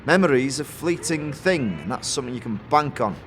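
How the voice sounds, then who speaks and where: A man's voice: mid-range voice, lively intonation, fairly quick, fairly bright tone, faint music behind, pitched above the performer's own voice, lightly acted. A man voicing a wizened, sly, forgetful old storekeeper who rambles hesitantly in a fantasy audio drama. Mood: hesitant, musing.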